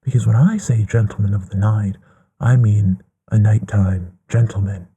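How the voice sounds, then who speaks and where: Man playing a hushed, breathy, low-voiced gentleman vampire. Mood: drily amused. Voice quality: breathy, hushed, often slipping into whisper. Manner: musing, genteel, unhurried with pauses. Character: courtly, bookish male vampire, gently affectionate. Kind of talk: intimate ASMR bedtime roleplay.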